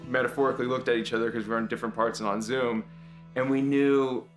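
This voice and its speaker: A man, a producer, recounting casting stories in an animated way. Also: mid-range voice, fast talker, faint music behind, poor audio. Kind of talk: featurette interview.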